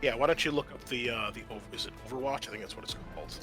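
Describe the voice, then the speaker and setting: A man's voice: mid-range voice, racing, pushed and bright. A man acting as game master, narrating scenes and explaining rules in a conversational way over background music. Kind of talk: narrating and ruling a tabletop roleplaying game.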